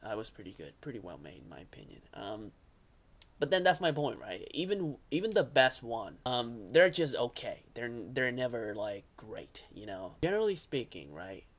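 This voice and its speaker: Young man with a creaky, gravelly voice. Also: medium pitch, very animated, normal speed. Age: young adult. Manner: conversational, rambling, frequent "right?" and "you know" tags. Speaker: YouTube host. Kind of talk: casual opinion vlog. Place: furnished basement room, indoors.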